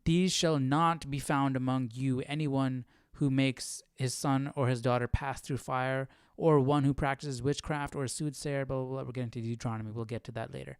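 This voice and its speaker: A man hosts an informal study livestream in an everyday middle-pitched voice. He thinks out loud in a casual, conversational way. The sound is dry and close on the microphone. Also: steady tone, moderate pace, hardly any pauses, smooth, no room sound. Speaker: host of a live Bible study stream. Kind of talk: casual livestream chat with reading and commentary.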